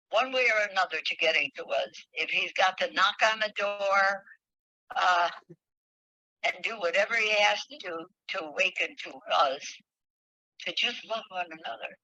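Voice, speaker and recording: Bright-voiced woman sharing devotion, dull audio. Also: medium pitch, a little slow, pauses often, no room sound. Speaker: spiritual leader. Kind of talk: devotional reading and heartfelt group sharing. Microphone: phone on a group call. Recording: dull, dry online call audio.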